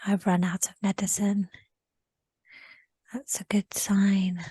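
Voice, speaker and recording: A woman whispering a slow first-person recollection in a guided session, often repeating short phrases. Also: mid-range voice, level tone, dark tone, husky, no room sound.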